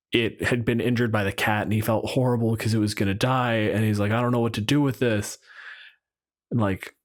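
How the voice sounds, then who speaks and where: A man's voice: fairly low pitch, fairly bright tone. A man co-hosting a podcast, chatting casually and wryly with plenty of swearing. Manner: casual, profane, wry. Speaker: podcast co-host. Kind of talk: casual chat about tabletop game monsters.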